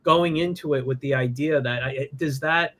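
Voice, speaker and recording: A man hosting a casual interview over a livestream, friendly and halting, often repeating words as he starts sentences. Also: medium pitch, fairly bright tone. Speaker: host. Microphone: remote livestream call.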